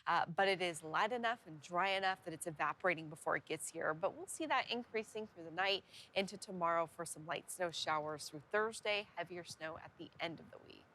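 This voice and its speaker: A woman's voice: on the low side, brisk, hardly any pauses, sharp tone, rough recording. A woman presenting TV weather in an easygoing, explanatory way, chatting as a co-host. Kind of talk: conversational weather report and co-host banter.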